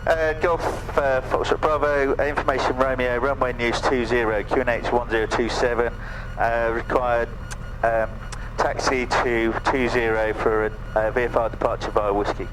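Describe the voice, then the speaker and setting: A man's voice: mid-range voice, level tone, moderate pace, noisy background. A man speaking as a pilot, with hesitant, stop-start delivery of informal talk and radio calls. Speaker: pilot and vlogger.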